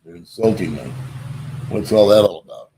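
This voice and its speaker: A middle-aged man hosts a relaxed live stream and talks to his viewers in a somewhat low voice. He pokes fun at himself and greets people by name as they join. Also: normal speed, creaky, slight background noise, rough recording. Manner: joking, self-deprecating, greets viewers by name. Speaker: vlogger hosting a live stream.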